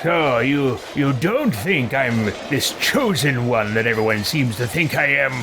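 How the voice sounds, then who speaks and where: A man's voice: mid-range voice, expressive, a little slow, music in the background. A man improvising a haughty, irritable fantasy hero during a livestreamed roleplay. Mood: skeptical.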